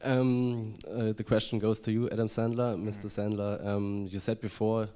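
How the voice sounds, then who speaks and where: Flat, mellow-voiced journalist asking a question. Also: male voice, lowish voice, moderate pace. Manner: hesitant, with fillers. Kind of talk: formal press question. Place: press conference hall, from the audience.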